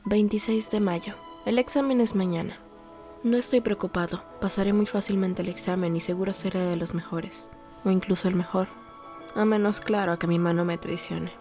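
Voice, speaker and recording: A resentful teenage boy, acted by a woman, confides in his diary with formal, measured bitterness. The voice sinks low and quiet over music, as in a cartoon drama retelling. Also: steady tone, close to an everyday voice. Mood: brooding, hurt. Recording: music under the voice.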